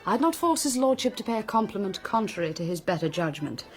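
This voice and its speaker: A woman playing a frank, sharp-tongued young heiress, arch and articulate, in a period radio drama. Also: medium pitch, big pitch swings, fast, soft background music, natural voice. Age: 20s.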